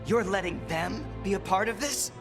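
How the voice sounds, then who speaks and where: An actor playing an indignant, hot-headed young rebel in a fantasy video game, heated and accusing. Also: male voice, sits high, moderate pace, natural voice. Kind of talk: video game dialogue acting. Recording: game music underneath.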